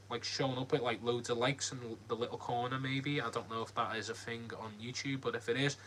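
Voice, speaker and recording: A man's voice: medium pitch, fairly bright tone. Rambling Scouse man chatting live. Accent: Liverpool (Scouse). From Liverpool, England. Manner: rambling, many ums and likes, friendly. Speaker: livestream host. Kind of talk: casual live Q&A chat reading comments. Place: home, lit by a ring light.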